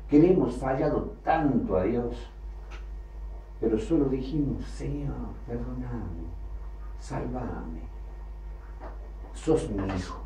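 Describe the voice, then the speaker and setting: A man preaching a sermon, emphatic, with loud bursts that drop low again. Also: slight background noise. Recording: echoey hall, from far off, faint ambience.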